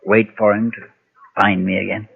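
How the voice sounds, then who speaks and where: An actor playing a weary, fearful former preacher, grave and resigned, with formal diction, in an old-time radio drama. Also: male voice, on the low side, little pitch movement, moderate pace, creaky. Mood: weary resignation. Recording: dull, noisy vintage radio recording.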